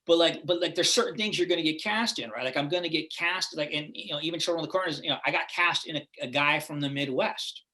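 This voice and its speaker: A man's voice: fairly high pitch, fast talker, hardly any pauses, bright, forceful tone. A middle-aged man from Los Angeles with a laid-back Californian accent, chatting loosely as an interview guest, with a lot of "like" and "right?" in his talk. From Los Angeles, USA. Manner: rambling, full of "like" and "right?". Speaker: guest actor. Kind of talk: casual interview chat.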